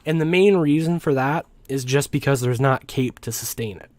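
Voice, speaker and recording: A young man with a mid-pitched voice talks through a forecast in an informal, explanatory way. He is conversational and slightly halting, rewording things as he goes. The sound is close and dry, with little room on it. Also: darkish tone, no room sound. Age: young adult. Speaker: weather forecast host. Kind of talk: informal explainer commentary over weather maps.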